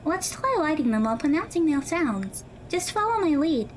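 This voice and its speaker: A woman playing an earnest, studious high school girl in an audio drama, speaking in precise, formal phrases over music. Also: very animated, moderate pace, a little hiss or hum. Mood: encouraging, helpful.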